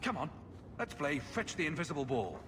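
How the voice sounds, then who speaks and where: Wry, animated male adventurer. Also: a little high, brisk, background noise. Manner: sarcastic, dry-witted. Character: wry, sardonic young male adventurer hero.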